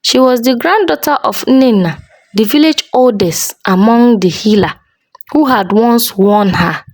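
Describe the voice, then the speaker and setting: A woman storyteller narrating a scripted folktale in a measured, dramatic way with deliberate pauses. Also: fairly high pitch, darkish tone, no echo, rough recording.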